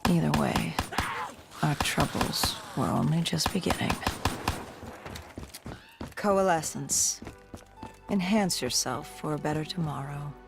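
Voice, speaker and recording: An actor voicing a grim, augmented soldier in a video game cutscene, narrating in a somber, measured, clipped way with a breathy, gravelly edge. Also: medium pitch, lively intonation, over music. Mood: grim, reflective. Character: grim cybernetically augmented soldier recounting a past disaster.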